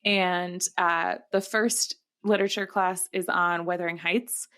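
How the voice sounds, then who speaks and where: A woman co-hosting a podcast in casual conversation, pausing often mid-sentence. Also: fairly low pitch, fairly even intonation, pushed and bright, smooth. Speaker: podcast co-host.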